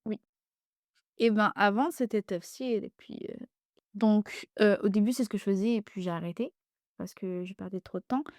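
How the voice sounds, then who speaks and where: A woman's voice: mid-range voice, normal speed, no echo, studio quality. A young woman guest talking casually on a podcast, full of fillers and restarts, Arabic dialect as her first language. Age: young adult. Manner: hesitant, many fillers and restarts, animated. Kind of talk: casual conversation.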